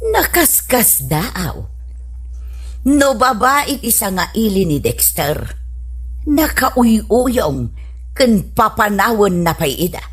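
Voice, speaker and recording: A woman's voice: medium pitch, sing-song, takes it slowly, slightly rough, heavily acted. A woman with an Ilocano Filipino accent playing an exasperated, nagging wife and mother in a radio comedy drama. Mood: scolding. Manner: nagging, exclamatory.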